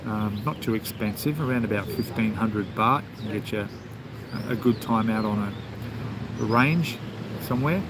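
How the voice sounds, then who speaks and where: A man's voice: fairly low pitch, moderate pace. A man with an Australian accent, hosting a casual travel live stream and answering viewer comments in a rambling, chatty way, with plenty of ums and restarts. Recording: clear outdoor background noise.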